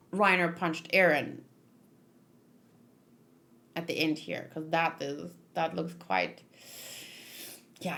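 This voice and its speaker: A woman's voice: mid-range voice, a bit bright. A woman giving casual, informal commentary as a read-along host, thinking aloud and searching for her words as she goes.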